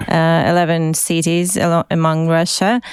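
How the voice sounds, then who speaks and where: A man co-hosting a radio show and interpreting for a guest in the first person, careful and measured. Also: on the high side, flat delivery, clear, resonant tone, no echo. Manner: translates in first person, careful, slowed English. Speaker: co-host and interpreter. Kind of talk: live interpreting. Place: radio studio.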